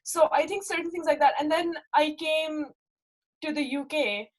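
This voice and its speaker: A young woman from Pakistan speaks with a gentle Pakistani accent and a voice that sits a bit high. She talks in a casual, reflective way, full of fillers and searching pauses, often checking in with a quick "right?". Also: fairly even intonation, poor audio. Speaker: interviewee. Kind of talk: casual personal account.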